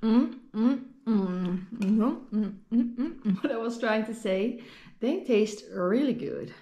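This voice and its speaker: A chatty young woman with a slightly high voice talks straight to a camera held at arm's length, laughing at her own kitchen mistakes. Her voice bounces around a bare, echoey room. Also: expressive, takes it slowly, mellow. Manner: chatty, giggly, self-deprecating. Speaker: vlogger. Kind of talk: casual food-tasting vlog. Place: home kitchen.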